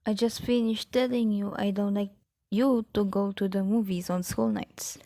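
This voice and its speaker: A woman's voice: medium pitch, expressive, moderate pace, warm tone, no echo, natural voice. Firm, strict mother played by a woman, dry sound. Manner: firm, scolding. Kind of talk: amateur English radio play acting.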